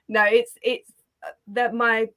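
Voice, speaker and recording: A woman's voice: a little high, flat delivery, slow pace, a bit bright. A woman guest, a positive psychologist, chatting casually in an interview and laughing often, from her garden shed.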